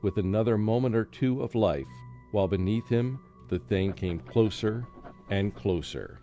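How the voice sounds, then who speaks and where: A man's voice: lowish voice, animated, normal speed, warm tone, faint music behind. A man playing a nervous, haunted scholar who narrates a radio drama in dramatic storytelling style. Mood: uneasy, suspenseful. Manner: dramatic first-person storytelling. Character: haunted, nervous male scholar recounting his ordeal.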